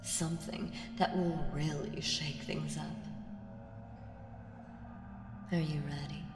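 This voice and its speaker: A woman voicing an ancient evil entity in an ASMR audio roleplay, smug, seductive and condescending, with a teasing delivery that slips into breathy whispers. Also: low-pitched, level tone, moderate pace, lightly acted. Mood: playful scheming. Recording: echoey hall reverb, distant, music behind.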